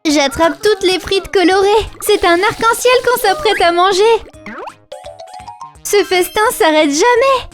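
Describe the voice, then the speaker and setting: A boastful little lion cub with a very high, childlike voice. The delivery is excitable and singsong, in rhyming children's cartoon style, with light music behind it. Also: female voice, a little slow. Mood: delighted. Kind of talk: children's cartoon voice acting in rhymes.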